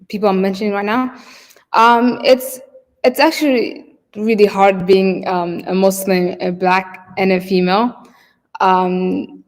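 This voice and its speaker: Woman, keynote storyteller; halting, filler-heavy delivery; long reverberant hall sound. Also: medium pitch, a little mellow. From Somalia. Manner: hesitant, many fillers and restarts. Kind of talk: personal storytelling.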